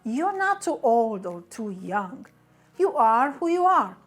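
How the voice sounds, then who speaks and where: Woman, somewhat high voice, earnest motivational coach speaking straight to camera. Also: big pitch swings, slow pace, breathy edge, faint music behind. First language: Romanian. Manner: earnest, direct and encouraging. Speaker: business coach.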